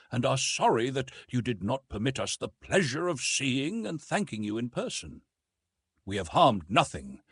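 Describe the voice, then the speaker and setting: An earnest, courteous gentleman's letter of thanks read out by a male narrator in an old adventure novel. It is formal and grateful, with wide pitch movement and a husky grain. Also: no room sound, clean studio sound, full character voice.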